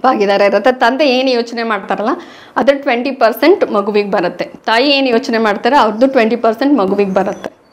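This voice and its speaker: Woman, high voice, North Karnataka accent, patient, teacherly, explaining. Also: a little fast. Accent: North Karnataka Kannada. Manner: patient, teacherly, mixing in English words. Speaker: interview guest, prenatal course teacher. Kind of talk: explanatory interview talk.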